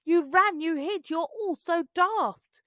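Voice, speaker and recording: A woman voicing a cheeky, boastful young boy dinosaur for a storybook, teasing and shouty. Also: very high-pitched, slow, no room sound, dull audio, voice pushed up.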